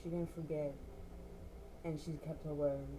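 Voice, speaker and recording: Low-voiced Jamaican woman guest. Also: little pitch movement, measured pace, noisy background. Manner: earnest, repeats words for emphasis, laughs easily. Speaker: guest, entrepreneur and artist. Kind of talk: casual interview chat.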